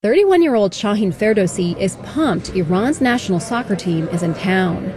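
A woman's voice: mid-range voice, expressive. Woman, radio reporter, scripted narration, crowd murmur behind. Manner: measured scripted delivery. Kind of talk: news feature narration.

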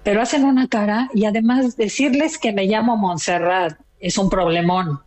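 A woman's voice: medium pitch, normal speed, a little mellow. A retired older woman from Mexico with a Mexican accent, chatting proudly as a caller on a radio talk show. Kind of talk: casual chat.

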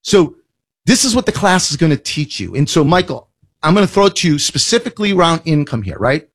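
A man's voice: fairly high pitch, very animated. A man hosting a financial advice radio show, talking in a blunt, emphatic way and rattling off lists. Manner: emphatic, blunt, rapid listing. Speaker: financial advisor radio host.

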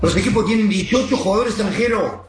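A man's voice: sits high, fast talker, roomy sound, music in the background. A man talking casually as a guest soccer coach, explaining emphatically in a rambling way and checking that he is followed. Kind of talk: casual interview talk.

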